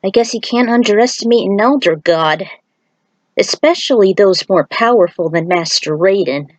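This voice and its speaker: Female storyteller, medium pitch, scripted narration, very dry close recording. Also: normal speed, a little mellow, no room sound.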